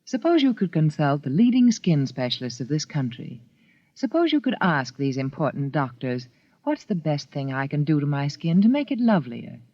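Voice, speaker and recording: Female spokesperson for 1940s radio ad: animated, deliberate, emphatic, dull vintage recording. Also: low-pitched. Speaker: advertising spokeswoman. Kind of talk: 1940s radio commercial, scripted pitch. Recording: old muffled radio recording.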